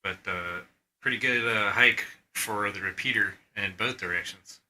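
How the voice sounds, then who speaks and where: Bright, sharp-voiced man explaining casually. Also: on the low side, moderate pace, low-quality recording. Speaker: amateur radio operator presenting recordings. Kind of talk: informal narrated presentation.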